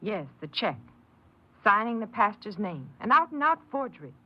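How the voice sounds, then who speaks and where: An actress playing a stern, disappointed woman supervisor in a 1950s radio drama, with a husky voice. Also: medium pitch, sing-song, moderate pace. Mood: stern reproach. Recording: muffled 1950s radio recording.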